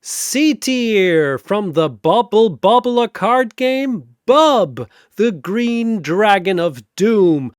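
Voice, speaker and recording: A man voicing a flamboyant, grandiose four-armed arena master of ceremonies in a fantasy audio show, announcing with drawn-out showman's emphasis. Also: sits high, expressive, clear, resonant tone, no room sound, studio quality, voice pushed up, full character voice. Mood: hyped announcing.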